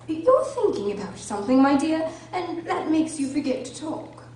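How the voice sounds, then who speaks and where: Chummy, preachy storybook Duchess; high, affected, theatrically gushing radio acting, distant and noisy recording. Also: female voice, very animated, moderate pace, a little mellow. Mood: fond, preachy. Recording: echoey room, distant mic, clear background noise.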